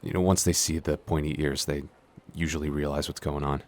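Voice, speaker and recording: A man's voice: very deep voice, fairly even intonation, a little fast. A man playing a shy, clumsy giant in a roleplay audio, stammering, hesitant and constantly apologetic. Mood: resigned, shy. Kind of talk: intimate roleplay audio acting.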